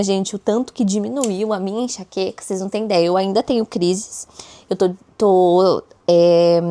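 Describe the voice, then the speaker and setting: A hoarse woman vlogging in a casual chat, talking straight to her viewers and often tagging her sentences with little questions. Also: medium pitch, a little mellow. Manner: chatty, addresses viewers directly with frequent tag questions.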